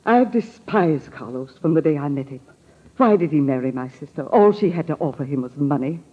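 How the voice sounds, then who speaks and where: A woman's voice: deep voice, expressive, a little fast, slightly rough. An actress playing an anxious, bitter well-to-do woman in an old-time radio drama. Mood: bitter, distraught. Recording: tinny, reverberant old radio recording.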